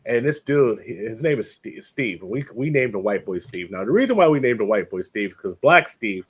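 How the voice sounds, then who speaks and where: A man's voice: fairly low pitch, moderate pace, husky. Man telling a story in loose casual chat. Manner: animated storyteller, puts on voices for people in his stories. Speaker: livestream host.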